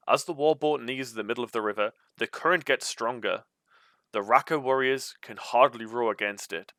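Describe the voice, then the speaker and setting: Bright-voiced man reading a gamebook aloud. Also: mid-range voice, clear, resonant tone, no room sound, clean studio sound. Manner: informal, chatty asides. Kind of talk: storybook read-aloud with casual commentary.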